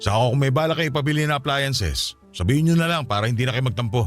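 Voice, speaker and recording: A man's voice: medium pitch, lively intonation, quick pace, faint music behind, close to an everyday voice. A man acting as a defensive, pleading married cheater in a Filipino radio drama.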